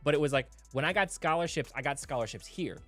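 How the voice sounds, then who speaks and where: A man hosting a music reaction show, talking in a chatty, excitable way with many fillers and restarts. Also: fairly high pitch, quick pace. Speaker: music producer and show host. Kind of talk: casual reaction chat.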